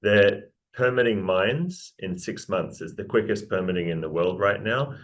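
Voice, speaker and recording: Brief: very low-voiced Kiwi exec, upbeat pitch, stumbles and restarts. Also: male voice, animated, normal speed. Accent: New Zealand. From New Zealand. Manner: upbeat, restarts and repeats words. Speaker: mining company CEO. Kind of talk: casual interview pitch.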